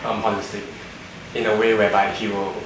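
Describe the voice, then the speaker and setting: A man's voice: fairly low pitch, monotone, brisk. A man interviewing a client, asking questions hesitantly with many fillers. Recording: echoey room from far, with background noise.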